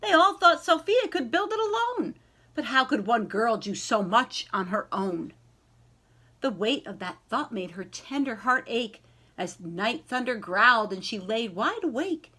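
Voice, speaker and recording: Animated woman reading a picture book aloud. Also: a little high. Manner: animated, pausing to ask young listeners questions. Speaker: librarian reading aloud to children. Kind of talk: expressive children's picture-book read-aloud.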